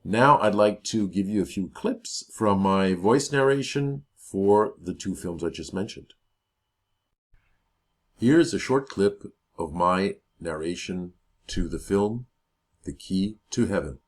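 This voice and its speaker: Man, 50s, deep low voice, clear pronunciation, measured self-introduction, occasional hesitations. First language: English. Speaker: voiceover artist. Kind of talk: self-introduction demo reel.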